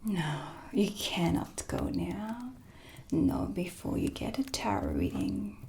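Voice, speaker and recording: Woman acting a slow, whispering, creaky dark witch. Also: fairly low pitch, huge pitch swings, full character voice. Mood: commanding, luring. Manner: slow, deliberate, theatrical. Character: mysterious dark witch, female, tarot reader, haughty and knowing. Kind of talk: cinematic ASMR roleplay, whispered. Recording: music under the whispered voice. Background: dark ambient music.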